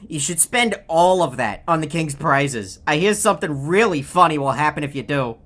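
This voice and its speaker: An actor playing a snarky, self-aware video game character who taunts the player with sarcastic, condescending, deadpan lines. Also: male voice, on the high side, moderate pace. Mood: smug taunting.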